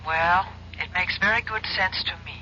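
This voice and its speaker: A woman's voice: lowish voice, moderate pace, pushed and bright. An actress playing an earnest, concerned woman in a 1970s radio drama. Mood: reproachful, earnest. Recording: dull, hissy old radio broadcast.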